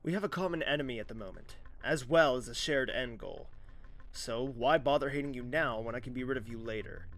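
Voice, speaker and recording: An actor playing a cocky, blunt rival turned reluctant ally in a fantasy audio drama, with a brash, wry manner. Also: male voice, medium pitch, a little fast, fairly bright tone, close to an everyday voice. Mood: brash resolve.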